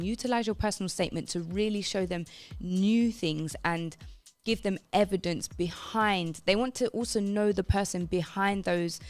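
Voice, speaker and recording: A woman's voice: medium pitch, no room sound. A British woman presenting an instructional advice talk on radio in an emphatic, explanatory way, often checking in with short questions. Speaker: radio presenter giving study and application advice.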